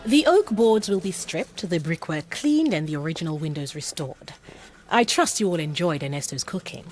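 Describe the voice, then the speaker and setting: A woman's voice: fairly low pitch, animated, normal speed, music in the background, close to an everyday voice. An actress playing a self-assured British female con artist in a radio drama, poised, persuasive and businesslike. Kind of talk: naturalistic radio drama acting.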